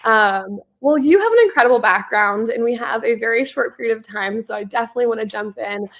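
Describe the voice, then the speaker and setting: A woman's voice: fairly high pitch, fairly quick, a bit bright. A young adult woman hosting a live online Q&A, upbeat and friendly, a little stuffy from a head cold, talking over a video call. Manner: upbeat, friendly, with frequent ums. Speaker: host, venture principal.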